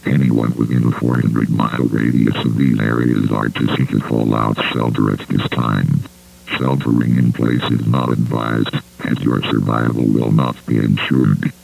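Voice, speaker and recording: Very deep, gravelly male alert announcer. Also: little pitch movement, a little slow, darkish tone, poor audio. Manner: formal, impersonal. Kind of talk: scripted emergency alert broadcast announcement.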